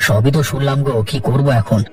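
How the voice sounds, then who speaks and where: Man doing a tired old farmer dad from a cartoon, sad n caring, bit far from mic, soft music. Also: mid-range voice, steady tone, quick pace, lightly acted. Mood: sad, resigned. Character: aging farmer father, resigned, caring, sorrowful. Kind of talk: cartoon dialogue acting.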